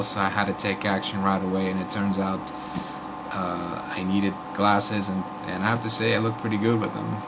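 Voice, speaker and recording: A man with a raspy voice from a sore throat, chatting casually to viewers and rambling with many fillers. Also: fairly low pitch, heavy background noise. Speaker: YouTube host. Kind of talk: casual vlog commentary.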